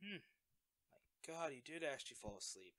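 Audio drama role: male actor as caring young secret hero, higher-pitched and bright, sheepish, hesitant delivery. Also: very animated, a little fast, dry recording. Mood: tender, quiet. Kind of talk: audio roleplay acting, conversational.

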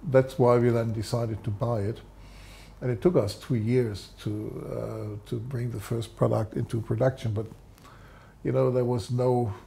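An older man in his sixties talks as an expert guest in a studio interview. His voice is mellow and on the low side, with plenty of creak. He explains technical detail deliberately. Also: slight background noise. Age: 60s. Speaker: guest, loudspeaker designer. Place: studio set, seated indoors.

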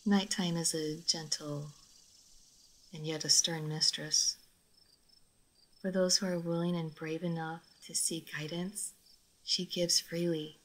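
A woman playing a gentle, melancholy old vampire lady in an intimate roleplay audio drama, speaking softly and formally. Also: sits low, poor audio. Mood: pensive. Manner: soft, formal, wistful, sometimes near whispering.

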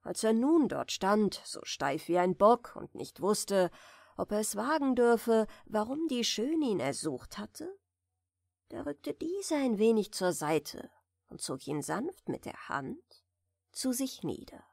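A woman reading a fairy tale aloud as a bedtime audiobook, softly and soothingly, in a deliberately gentle manner. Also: medium pitch, very animated, gravelly, no echo, studio quality. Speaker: audiobook reader.

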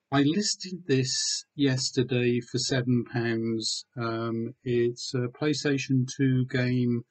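A man in his sixties from Wales, talking casually and hesitantly in a low-key, understated way as he presents a home vlog. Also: a little mellow, poor audio. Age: 60s. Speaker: online seller and video host. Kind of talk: casual vlog chat, showing items. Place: small room at home with bookshelves.

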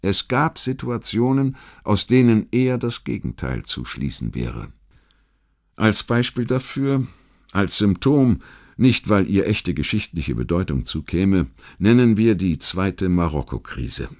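Low-pitched male German audiobook narrator. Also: very animated, unhurried. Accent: standard German. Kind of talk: scripted documentary narration, literary history reading.

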